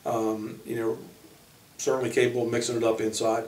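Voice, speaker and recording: A man who coaches college basketball, giving informal player profiles in a halting way, pausing mid-sentence. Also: medium pitch, level tone, a little mellow. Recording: roomy echo, distant microphone.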